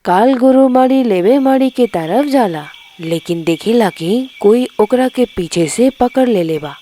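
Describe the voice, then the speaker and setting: A woman's voice: on the high side, lively intonation, a little slow, dark tone, a little hiss or hum. A woman reading a scripted fairy tale as narrator, in a dramatic storytelling style.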